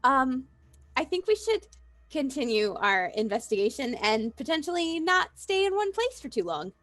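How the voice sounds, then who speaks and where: Higher-voiced woman playing a tabletop game. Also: big pitch swings, normal speed, fairly bright tone, no echo. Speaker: tabletop roleplaying player. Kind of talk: casual in-character game chat.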